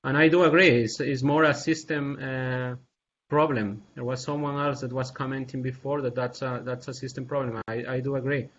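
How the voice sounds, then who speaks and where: A man from Peru, speaking as an assistant professor on a video call panel, earnest and halting, with many fillers and restarts. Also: mid-range voice, fairly even intonation, a bit bright. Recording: dull lo-fi video call audio.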